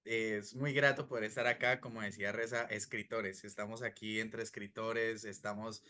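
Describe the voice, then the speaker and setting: A man's voice: fairly low pitch, flat delivery, normal speed, bright, forceful tone. A man, a guest author, greeting the audience courteously. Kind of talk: casual greeting.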